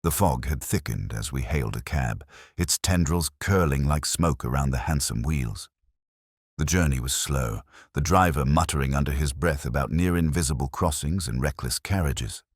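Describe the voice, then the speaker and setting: A man reading a first-person detective story as its narrator, in a measured, formal, literary manner. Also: very deep voice, breathy edge, no room sound, studio quality. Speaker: audiobook narrator.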